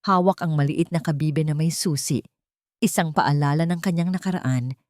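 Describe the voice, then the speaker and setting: A woman reading a scripted first-person love story as narrator, in a measured, sentimental storytelling manner. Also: lowish voice, darkish tone, dry recording, clean studio sound.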